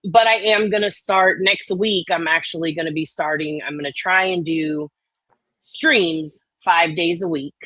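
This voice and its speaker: A woman's voice: on the low side, steady tone, unhurried, bright, forceful tone, no room sound, low-quality recording. A woman hosting a livestream with casual chat, joking and swearing in an informal way. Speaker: livestream host.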